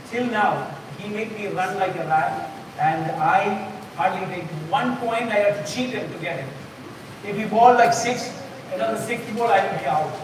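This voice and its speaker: Keralite man hosting in an echoey hall. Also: sits high. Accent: Indian English (Kerala). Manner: chatty, joking, loose and rambling. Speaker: host and organizer. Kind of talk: hosting a live event. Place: event hall. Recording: echoey far-off hall mic with noise.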